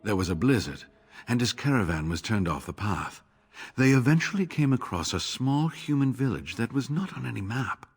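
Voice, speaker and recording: An actor playing an earnest, devout male scholar in a video game, speaking in a measured, formal way with a husky voice. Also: medium pitch, expressive. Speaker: game character.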